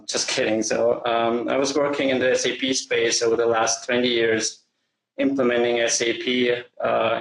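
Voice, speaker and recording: A middle-aged man from Austria speaks English with an Austrian German accent. He gives a measured, steady business presentation at a middle pitch. The sound is roomy and echoey. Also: gravelly. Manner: measured, slows to stress key phrases. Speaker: company founder presenting.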